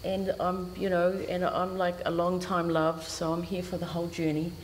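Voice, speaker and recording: A woman speaks on an art panel, pausing and repeating words as she finds her point. Her voice sits a little low. She is on a stand mic in a gallery with a long reverb tail and a faint hiss. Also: completely flat delivery. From New Zealand. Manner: halting, repeats words while thinking. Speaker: panelist, artist. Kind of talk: reflective panel talk.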